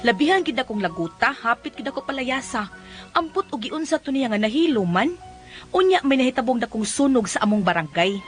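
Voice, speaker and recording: A woman's voice: fairly high pitch, sing-song, brisk, soft background music. A woman with a Cebuano Filipino accent playing an exasperated, nagging village wife in a comedic radio drama. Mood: exasperated storytelling.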